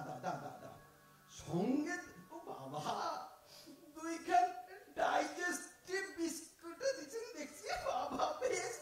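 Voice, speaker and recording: An actor playing a cheeky, smooth-talking fruit thief with an East Bengali accent, stammering and theatrical in an audio drama. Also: male voice, extremely high pitch, full character voice. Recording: echoey room from far off.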